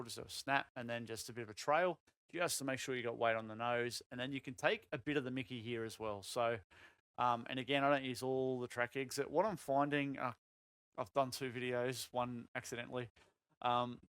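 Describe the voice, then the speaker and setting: A man, voice going croaky, coaching a sim racing lap in casual talk with lots of fillers. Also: medium pitch, no echo. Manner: relaxed, filler-heavy explaining with many "um"s. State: voice getting croaky.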